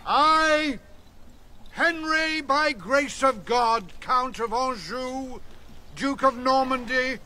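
An actor playing an ageing, sardonic, commanding king with a gravelly voice in a radio drama. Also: very high-pitched, extremely slow, bright, forceful tone, slight background noise, full character voice. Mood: loud, solemn vowing. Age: older.

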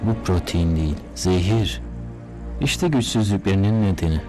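A man's voice: sits low, animated, moderate pace. A man playing a serious, confident magician hero in a dubbed cartoon, composed and commanding. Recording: loud music behind.

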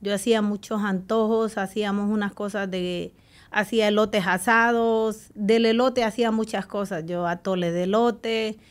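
Female interview guest, middle-aged, Salvadoran accent, mid pitch, recounting personal experience in a radio studio. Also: steady tone, normal speed. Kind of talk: recounting personal life in conversation. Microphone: close desk microphone with headphones.

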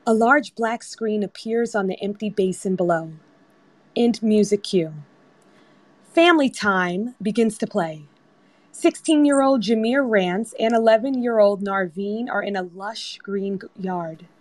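A woman's voice: medium pitch, measured pace, a little mellow, dry recording. A woman reading scripted screenplay narration as the narrator of a table read. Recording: online group voice-chat app.

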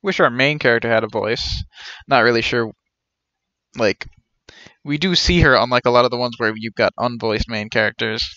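Young man, casual game stream chatter, trailing-off rambles, bright tone, dull dry recording. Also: medium pitch, moderate pace, dry recording.

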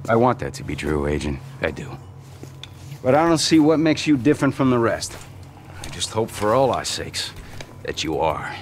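An actor playing a cynical, gruff middle-aged American patriot in a video game, blunt and profane. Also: male voice, lowish voice, big pitch swings, moderate pace, slight background noise. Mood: doubtful. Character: cynical, gruff patriot man, bitter and passionate. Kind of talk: video game cutscene acting.

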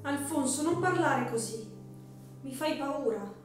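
A woman playing a tender, anxious young wife in a radio drama, with a soft, pleading and emotional manner. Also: high voice, a little slow. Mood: fearful, pleading. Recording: very echoey room, faint music underneath.

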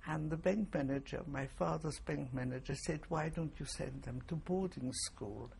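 An elderly woman, giving testimony slowly and haltingly, with many pauses. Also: low-pitched, gravelly, a little mellow, a little hiss or hum. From Poland. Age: 80s. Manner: slow, halting, pausing with fillers. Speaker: interviewee. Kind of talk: oral history testimony.

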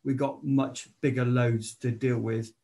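A man's voice: mid-range voice, little pitch movement, a little slow, background noise. A man on a club video call explaining a point hesitantly, with a self-deprecating manner and repeated words. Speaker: club member asking a question. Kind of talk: casual explanatory chat.

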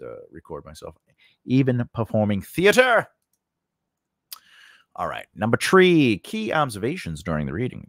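Animated middle-aged man teaching, dry close sound. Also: mid-range voice, dry recording. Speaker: host of a screenwriting lesson channel. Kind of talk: conversational instructional talk to camera. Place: small indoor home studio with cloth backdrop. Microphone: close studio condenser mic on a stand.

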